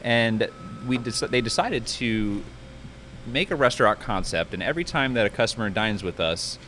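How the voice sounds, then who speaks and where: A man's voice: lowish voice, animated, normal speed, sharp tone, slight background noise. A man chatting casually and at length as a restaurant manager being interviewed on site, talking in a rambling way. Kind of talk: casual promotional interview chat. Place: inside a pizza restaurant.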